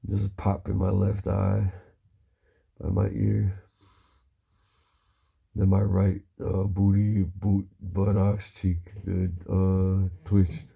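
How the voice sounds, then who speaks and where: A man talking in a halting, rambling monologue, murmuring and pausing with frequent low hums. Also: monotone, gravelly, mellow, husky, lo-fi sound.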